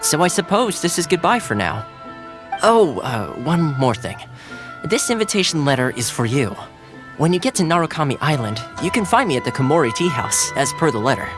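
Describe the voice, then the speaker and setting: An affable young attendant in a video game talks in a modest, smooth-talking, conversational way. His pitch is lively and varied, with music clearly playing behind him. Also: fairly quick. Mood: breezy. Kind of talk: video game character acting.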